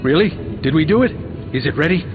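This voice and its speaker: A man's voice: on the high side, animated, fast talker, natural voice. Man acting as earnest humorless sorcerer, formal manner, game dialogue, narrow-band audio, background music. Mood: surprised, eager.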